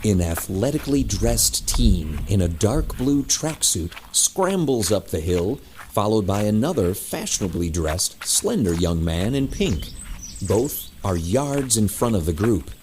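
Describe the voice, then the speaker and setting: A man's voice: on the low side, sing-song, measured pace, darkish tone, slight background noise. A man reading scripted scene narration for an audio drama.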